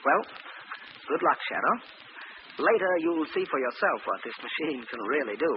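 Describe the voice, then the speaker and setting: A man's voice: fairly high pitch, big pitch swings. A man acting a scheming, persuasive scientist who speaks formally and precisely in a 1940s radio drama. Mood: instructing. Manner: formal, measured, instructing. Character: smooth-talking, scheming male scientist, controlling and persuasive. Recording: muffled, noisy old radio broadcast.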